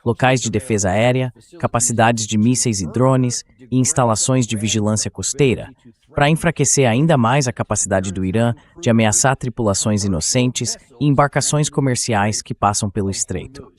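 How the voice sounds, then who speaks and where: A man's voice: mid-range voice, moderate pace. Male political show host in Portuguese voice-over. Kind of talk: political commentary, translated voice-over.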